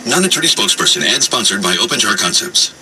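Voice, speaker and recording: A man's voice: lowish voice, very animated, fast talker, bright, forceful tone. A man reading a scripted radio commercial, heard through a small radio speaker. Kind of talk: scripted radio advertisement read. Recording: distant radio playback with slight noise.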